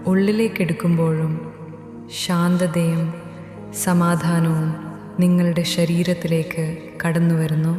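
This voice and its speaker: A woman narrating a guided sleep meditation in a gentle, soothing, instructional manner. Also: fairly low pitch. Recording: echoey hall reverb under music. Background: new-age meditation music.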